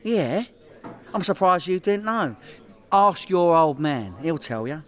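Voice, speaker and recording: An actor as a bragging small-time London crook in a radio comedy. His voice sits fairly high for a man, and he talks in a matey, defensive way, forever selling himself as somebody. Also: very animated, takes it slowly. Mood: boastful. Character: boastful, hopeless petty criminal spinning tall tales. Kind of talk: comedy radio play acting.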